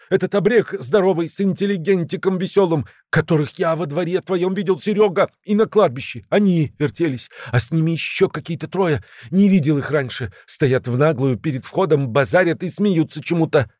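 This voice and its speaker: A man voicing an excitable, prickly but loyal small-time crook in an audiobook, with snappish, agitated slangy patter. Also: medium pitch, brisk, gravelly, no echo, studio quality, voice pushed up. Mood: panicked.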